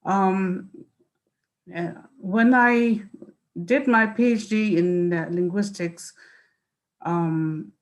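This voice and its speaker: A woman whose first language is Mizo, giving an online talk about her work over a video call, with frequent pauses and fillers. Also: medium pitch. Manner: hesitant, many fillers and restarts. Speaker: guest speaker, linguist. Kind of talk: online lecture introduction.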